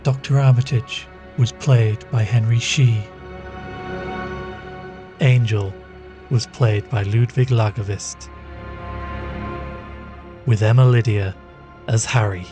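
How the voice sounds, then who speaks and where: A man's voice: medium pitch, fairly even intonation, slow, long pauses, music in the background. A man reading scripted end credits as the announcer of an audio drama podcast. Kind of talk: scripted narration.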